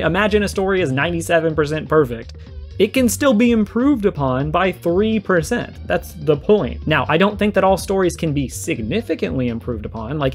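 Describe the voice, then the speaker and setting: A man giving argumentative video commentary, explaining his points emphatically and repeating them to be clear. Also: sits high. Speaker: video creator giving a response. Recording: music behind the voice. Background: music.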